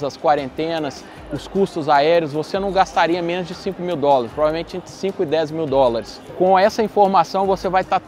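A man in his thirties from Brazil, hosting a walkthrough vlog at a busy trade fair, energetic and talking with his hands on a lapel mic. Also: fairly high pitch. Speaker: vlog host. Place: large indoor exhibition hall, busy trade fair. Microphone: clip-on lapel mic. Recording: loud crowd noise of a busy hall. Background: crowd chatter of a busy trade fair.

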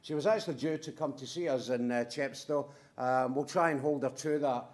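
A middle-aged man giving a conference presentation in brisk, punchy short statements with wry asides. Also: mid-range voice, fairly even intonation. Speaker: conference speaker from a manufacturing firm.

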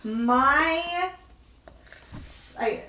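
A woman's voice: sits high, expressive, drawn-out words, bright, forceful tone, a little hiss or hum. A middle-aged mother chatting casually from off camera during a family review at home. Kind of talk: casual chat. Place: carpeted bedroom at home. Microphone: off camera, far from the microphone. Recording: roomy, distant from the mic.

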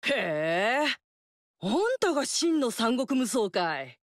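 A woman's voice: fairly high pitch, very animated, slow pace, no echo, clean studio sound, heavily acted. An actress playing a fiery, confident warrior queen in a video game, with brash, teasing, tomboyish lines. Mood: proud.

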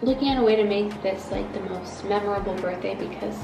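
A young woman in her twenties, just awake and a bit groggy, chatting to camera on her balcony in a relaxed, rambling way with lots of fillers. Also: mid-range voice, fairly quick, gravelly, reverberant, recorded from a distance, over music, rough recording. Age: 20s. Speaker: vlogger. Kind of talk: casual chat to camera. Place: apartment balcony, outdoors.

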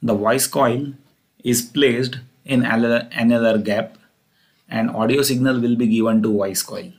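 A man's voice: mid-range voice, fairly even intonation, measured pace. Man giving a step-by-step technical lecture. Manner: repetitive, step-by-step explaining. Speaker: engineering lecturer. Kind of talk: explanatory slide lecture.

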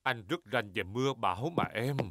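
A man's voice: mid-range voice, moderate pace, noisy background, natural voice. A man voicing a jolly, overconfident big daddy pig in a children's cartoon dub, hearty and self-assured. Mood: smug. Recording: music under the voice.

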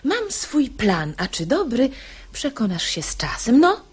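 Lively, husky, shrewd wife. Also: female voice, on the high side, unhurried, exaggerated voice. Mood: scheming, commanding. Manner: theatrical, articulate, ironic. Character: poised, shrewd, exasperated wife of the master. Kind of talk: classic verse comedy radio play.

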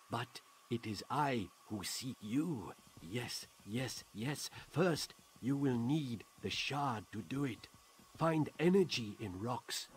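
A riddling alien shaman, speaking in halting broken phrases from a video game. His lively voice climbs and dips as he muses, unhurried and deliberate. A faint ambient hiss sits behind him. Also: medium pitch. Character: old Na'vi shaman, cryptic and mystical.

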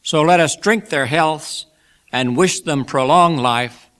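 A man voicing a genial, effusive old gentleman from a classic storybook, making toasts in an emphatic, oratorical manner. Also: on the high side, slow, voice pushed up. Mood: heartfelt, celebratory. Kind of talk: dramatised classic novel reading.

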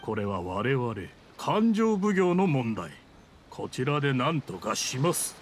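Haughty scheming official, swooping pitch. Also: male voice, very animated, takes it slowly. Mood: defiant, smug. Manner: indignant, formal, pompous. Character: scheming male official, haughty, defensive, easily riled. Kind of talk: Japanese anime game voice acting. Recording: game dialogue over ambient scene noise. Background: game scene ambience.

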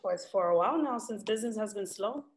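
A woman's voice: medium pitch, lively intonation, fast talker, natural voice. A woman playing a brusque, sassy kitchen cook who mixes English with Spanish, in a table read. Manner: brusque, teasing. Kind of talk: casual dramatic table read. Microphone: video call.

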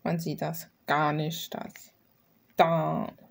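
A woman's voice: low voice, completely flat delivery, takes it slowly, vocal fry. A young adult woman chatting casually in a rambling, slangy, self-deprecating way with mild swearing, like an artist presenting her own work in a vlog. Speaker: illustrator presenting own artwork. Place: small room at home, desk.

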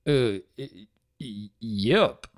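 A shy storybook stallion of few words, voiced by a man. He talks in a hesitant, folksy, plain way, gentle as a big brother. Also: mid-range voice, lively intonation, very slow.

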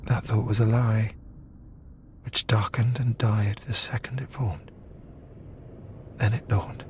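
A man reading a dramatic poem in clipped, intense fragments, his delivery often hushed. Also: on the low side, level tone, slow, slight background noise. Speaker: reader. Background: music.

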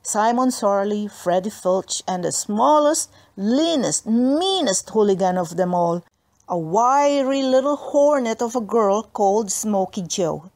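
A woman reading a children's book aloud as an expressive storyteller. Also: mid-range voice, unhurried. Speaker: audiobook reader.

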